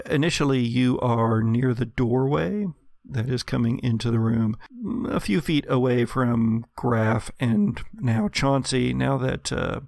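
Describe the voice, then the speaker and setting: A man runs a tabletop horror game as its storyteller, addressing players in vivid, dramatic second person. He speaks at an unhurried pace, with a gravelly creak in his voice. The sound is very dry. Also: mid-range voice, dry recording. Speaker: game master.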